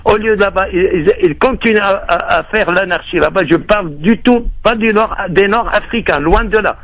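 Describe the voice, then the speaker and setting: A man's voice: sits high, fairly quick, a bit bright, poor audio. A male caller from Syria in a radio debate who keeps interrupting and agreeing eagerly. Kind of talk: call-in debate.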